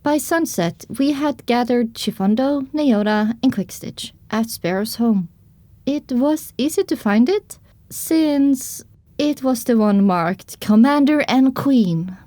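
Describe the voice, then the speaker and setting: A woman reading scripted first-person story narration for an audio drama, with expressive storytelling delivery. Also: on the high side, slow, no echo.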